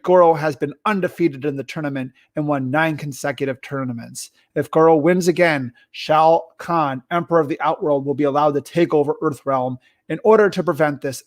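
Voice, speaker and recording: A man's voice: fairly high pitch, no echo. A man hosting a podcast in a casual style, partly reading from notes. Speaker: podcast host.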